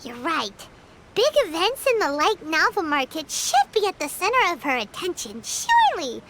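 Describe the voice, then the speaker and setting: Tiny fairy girl for an anime game, super high and squeaky, swoops all over, exclaims a lot. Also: huge pitch swings, moderate pace, a bit bright, a little hiss or hum, heavily acted. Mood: concerned, insistent. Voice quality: squeaky, childlike. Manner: exclamatory, refers to self by name. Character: small excitable floating fairy companion, childlike girl. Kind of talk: anime video game dub acting. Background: music.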